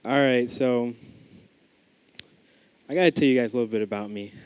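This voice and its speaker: A man's voice: medium pitch, moderate pace, clean tone, dry recording, dull audio. A young American man giving an informal sermon, reading scripture, praying and telling his own story in a casual, rambling way with many fillers and restarts. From United States. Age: young adult. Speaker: student preacher. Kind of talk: informal sermon with prayer and testimony.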